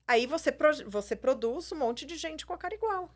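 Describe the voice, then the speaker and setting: A woman's voice: high voice, animated, normal speed. A Brazilian Portuguese-speaking woman, a plastic surgeon, explaining her views in a casual interview, full of fillers and tag questions. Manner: explanatory, frequent filler words, tags questions with "né" and "entendeu".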